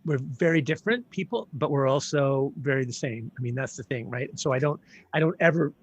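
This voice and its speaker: A man's voice: mid-range voice, brisk, husky, dry recording, highs cut off. A man hosting an interview, talking thoughtfully and hesitantly and often restarting his sentences. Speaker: host. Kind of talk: casual interview conversation.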